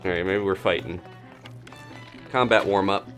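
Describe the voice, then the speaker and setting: A man's voice: low-pitched, steady tone, normal speed, a bit bright, soft background music. A man doing casual live video game commentary, thinking aloud in a playful, rambling, muttering way.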